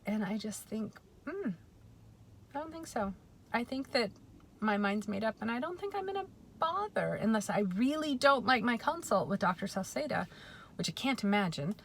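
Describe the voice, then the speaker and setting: A woman in her forties talking in a chatty, reflective way in a personal vlog, often pausing with um and correcting herself. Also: fairly high pitch, expressive, a little hiss or hum. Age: 40s. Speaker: vlogger.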